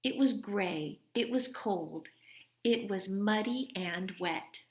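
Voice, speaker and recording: A woman reading a children's story aloud expressively and deliberately, as a teacher speaking to young listeners. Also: medium pitch, a little hiss or hum.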